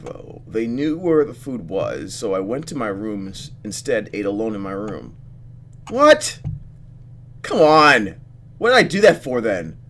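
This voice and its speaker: A man playing a visual novel, reading the text aloud and thinking out loud expressively as he goes. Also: mid-range voice, slight background noise. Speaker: let's player reacting to a visual novel. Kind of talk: gaming commentary mixed with reading game text aloud.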